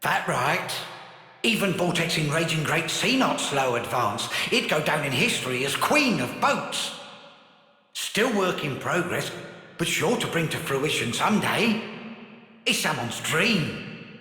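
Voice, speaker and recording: An actor playing a small, boastful creature inventor in a video game, talking in broken grammar through an echoing reverb. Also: male voice, a little high, very animated, unhurried, bright, forceful tone, full character voice. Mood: proud. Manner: pidgin-like, articles dropped.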